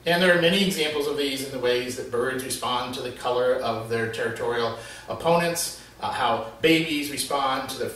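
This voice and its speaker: A middle-aged man, an animal behaviorist, explains things patiently and steadily, often pausing on "uh". His voice rings around a very reverberant space. He sits a little back from the microphone. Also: on the high side, pushed and bright. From Florida Keys, USA. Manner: measured, explanatory, with frequent "uh" fillers. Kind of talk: explanatory video lesson. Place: covered porch with wooden wall. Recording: very echoey, slightly distant.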